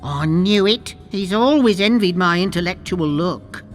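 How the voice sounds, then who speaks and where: A timid little cartographer from a pirate video game. His voice is very high for a man and swings about in pitch. He is fussy and easily indignant, with faint music underneath. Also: lively intonation, measured pace.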